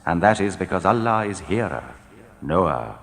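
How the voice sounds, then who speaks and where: A man reading translated scripture in a solemn, measured, declamatory style, letting his phrases fall at the end. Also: low voice, big pitch swings, creaky. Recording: long hall reverb.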